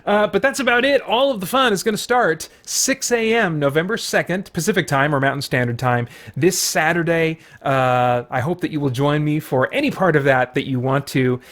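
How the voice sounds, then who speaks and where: A man's voice: fairly high pitch, normal speed, few pauses, a bit bright. A man who hosts a gaming channel, talking casually and enthusiastically in a playful, rambling, conversational way. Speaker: video game streamer and channel host. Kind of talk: casual enthusiastic vlog talk about games.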